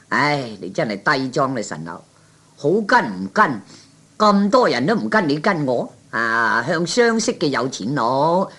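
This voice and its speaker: A man's voice: fairly high pitch, sing-song, unhurried, bright, forceful tone, heavily acted. A man voicing a sly, proud but broke gambler with a Cantonese accent in a 1960s comic radio play, drawling and dismissive. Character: sly, proud, broke male gambler playing big shot.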